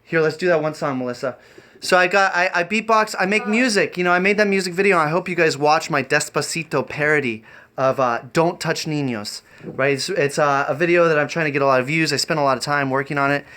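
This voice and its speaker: A man chatting off the cuff on a livestream, rambling and halting in an eccentric way. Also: on the high side, pushed and bright. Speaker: live streamer and variety performer. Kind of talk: improvised livestream chat.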